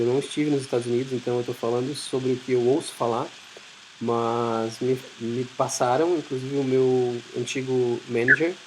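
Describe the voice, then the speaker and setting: A Brazilian man gives an informal talk in a medium-pitched voice, explaining things conversationally. His Brazilian Portuguese accent comes through over a faint noisy hiss in the recording. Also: a little mellow. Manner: conversational, explanatory, acts out short imagined dialogues. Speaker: IT professional giving a talk. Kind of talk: informal presentation.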